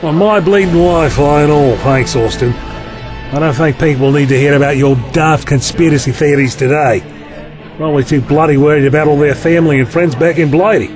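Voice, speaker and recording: A man with an Australian accent acting a gruff, mocking desert bar owner in an audio drama, blunt and slangy. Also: on the high side, moderate pace, lightly acted. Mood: sardonic, blunt. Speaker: actor. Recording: loud music bed behind the voice.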